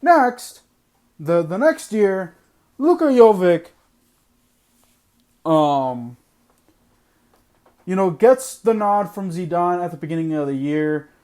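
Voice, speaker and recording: A man with a high voice hosts a sports podcast and rants bluntly, hammering his points home by repeating them. His voice is going hoarse because he is losing it. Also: very animated, takes it slowly, long pauses, darkish tone. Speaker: podcast host. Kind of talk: opinionated sports podcast monologue.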